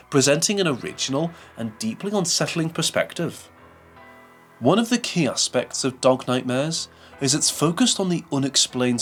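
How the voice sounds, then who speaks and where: A man reading a scripted video essay as narrator, in a measured, formal way. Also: medium pitch, fairly bright tone.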